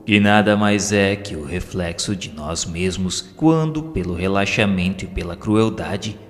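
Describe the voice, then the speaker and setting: Deep-voiced Brazilian male narrator in long reverb. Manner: formal, measured oratorical reading. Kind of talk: solemn scripted narration of a religious audio drama. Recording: long hall reverb, music underneath. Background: background music.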